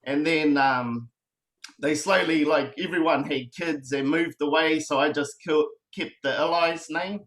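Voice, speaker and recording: A man in his thirties with a New Zealand accent, chatting casually as a guest about making beats, relaxed and rambling with many fillers. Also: on the high side, measured pace. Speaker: beatmaker guest. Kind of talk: casual online chat.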